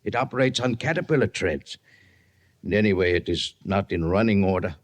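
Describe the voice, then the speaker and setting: An actor playing an earnest, weary leader of a besieged jungle outpost in an old-time radio adventure, with formal, measured phrasing. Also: male voice, on the low side, animated. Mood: grave, worried.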